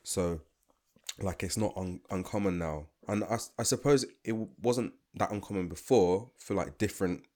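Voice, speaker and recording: A man's voice: sits low, normal speed, darkish tone. A young man with a London accent, talking casually and thoughtfully on a podcast, often restarting his sentences. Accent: London English. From London, England. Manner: thoughtful, rambling with restarts and asides. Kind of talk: casual chat.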